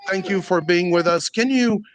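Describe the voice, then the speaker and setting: A man's voice: high voice, moderate pace, crowd noise. A man hosting a live stream, an English teacher who talks with an enthusiastic, hype-building manner. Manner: enthusiastic, hypes the audience.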